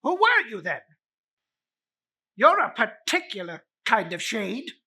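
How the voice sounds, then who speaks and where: A woman's voice: fairly high pitch, big pitch swings, slow pace, pushed and bright, slightly rough, pitched above the performer's own voice, exaggerated voice. A woman voicing a stubborn, irritable old man who is rattled, stammering and blustering, in a dramatic storybook reading. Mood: alarmed.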